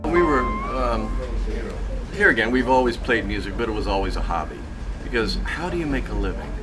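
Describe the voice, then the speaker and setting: A man's voice: lowish voice, very animated, fairly quick. A middle-aged man and musician host telling easygoing stories with a joking touch. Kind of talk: casual storytelling. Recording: distant mic, loud music behind.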